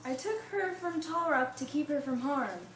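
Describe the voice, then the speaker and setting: A woman's voice: high voice, moderate pace, fairly bright tone. A young woman playing a blustering, possessive male frontier trader in an amateur puppet show, with emphatic declarations. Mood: insistent. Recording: echoey room with faint noise.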